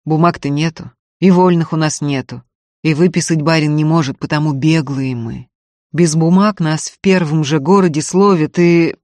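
A narrator playing a stolid, stubborn, gruff peasant man who speaks bluntly in an audiobook. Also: medium pitch, fairly even intonation, normal speed, a little mellow, dry recording, close to an everyday voice. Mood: gloomy objection.

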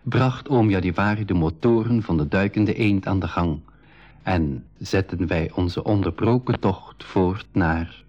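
A man narrating a school radio adventure play in a measured, explanatory way. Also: low-pitched, a little mellow. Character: composed male storyteller explaining an adventure to listeners. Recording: slightly dull 1980s radio recording.

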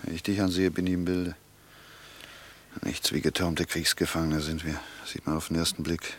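Husky, stubborn fugitive man. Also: low voice, level tone, moderate pace. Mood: dry mockery. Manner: insistent, dryly mocking, colloquial. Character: stubborn, wry French prisoner of war on the run. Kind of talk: radio play dialogue.

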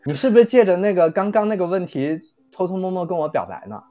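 A man with a very animated, swinging intonation trades playful, teasing banter as a guest on a casual show. Faint music sits behind him. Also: high voice, fast. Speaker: voice actor guest.

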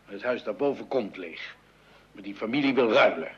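A man's voice: on the low side, animated, moderate pace, husky, exaggerated voice. An actor playing a flustered, grumbling middle-aged father who stammers in an old radio comedy. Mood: flustered, weary. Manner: hesitant, stammering, indignant. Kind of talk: mid-century Dutch radio comedy acting. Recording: dull old radio recording with noise.

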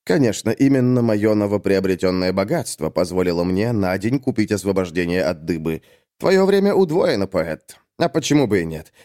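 A sardonic, self-satisfied male critic, acted by a man narrating an audiobook. He delivers pompous, articulate taunts with a widely swinging pitch. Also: expressive, normal speed, warm tone. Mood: contemptuous. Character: sardonic, smug, venal male art critic. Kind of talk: audiobook character acting.

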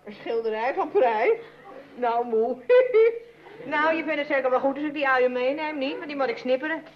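An actress playing a feisty, plain-spoken housemaid in an old-fashioned radio comedy, with an indignant, folksy way of talking back. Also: female voice, sits high, animated, moderate pace. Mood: annoyed. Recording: dull, noisy radio recording with audience.

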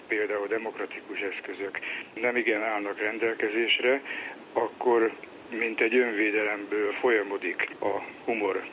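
A man, a social psychologist, explaining his ideas in a measured, reflective way in a radio interview. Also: fairly low pitch, muffled recording, a little hiss or hum. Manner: measured and reflective, tells a joke.

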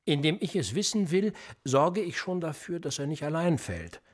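An actor playing a cold, probing police interrogator in a radio drama, needling and calm. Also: male voice, on the high side, normal speed. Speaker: police investigator.

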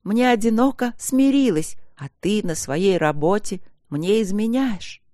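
A woman's voice: medium pitch, moderate pace, vocal fry, dry recording, clean studio sound, voice pushed up, natural voice. A woman voicing a furious, tearful middle-aged wife in an audiobook, wailing and exclaiming her accusations. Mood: tearful, accusing.

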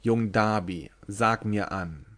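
Brief: a jeering gull of the storm cliffs, sing-song taunts, a man reading it aloud, slow and deep-set. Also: a bit bright. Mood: taunting. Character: mocking laughing seagull over a stormy cliff. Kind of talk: audiobook reading.

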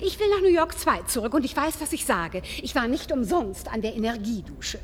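Shrill-pitched, petulant wife. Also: female voice, big pitch swings, fairly quick, in a large hall, a little hiss or hum. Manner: dismissive, complaining, emphatic. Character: capricious, self-absorbed wife and mother, petulant. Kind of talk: German science-fiction radio drama acting.

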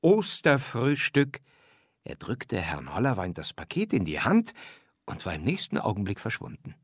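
A man narrating a scripted children's story, reading aloud expressively and with clear phrasing. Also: deep voice, wildly swooping pitch, normal speed, no echo, clean studio sound.

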